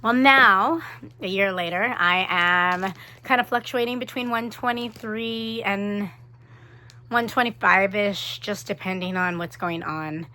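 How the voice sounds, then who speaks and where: A woman vlogging to her camera in a chatty, animated way, with a cold and her voice starting to fade. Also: mid-range voice, slight background noise. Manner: chatty, animated, quick asides. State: sick with a cold, voice fading. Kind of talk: casual chat to camera.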